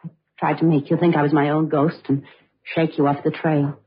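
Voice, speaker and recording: Husky, lowish-voiced rotten rich girl murderess, confessional radio noir, muffled old recording. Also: level tone, normal speed. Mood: rueful confessing. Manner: confessional, theatrical. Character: bitter, self-confessed rotten young heiress turned murderess. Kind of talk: old-time radio crime drama acting.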